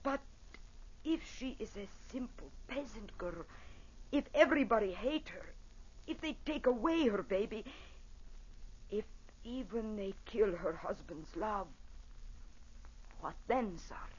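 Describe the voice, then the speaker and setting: A woman's voice: medium pitch, lively intonation, measured pace, long pauses, slightly rough. An elegant, impassioned madame with an Italian accent. Her phrasing is gracious and formal, in the theatrical manner of old radio plays. The recording is muffled, as from an old broadcast. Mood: pained, pleading. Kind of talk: old-time radio drama acting.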